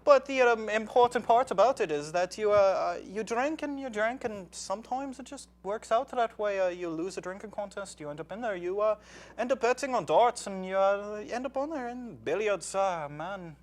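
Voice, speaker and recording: A man's voice: high-pitched, animated, moderate pace. A man playing a boastful, hard-drinking Irishman, rambling and joking. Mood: blustering. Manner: blustering, jokey, rambling with fillers. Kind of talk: comic banter.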